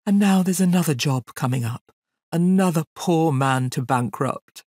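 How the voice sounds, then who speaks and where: A woman's voice: a bass voice, big pitch swings, slow pace, no room sound, studio quality, full character voice. A woman voicing a gloomy, lovesick male elephant in a children's story, mournful and grandly theatrical, drawing out his complaints.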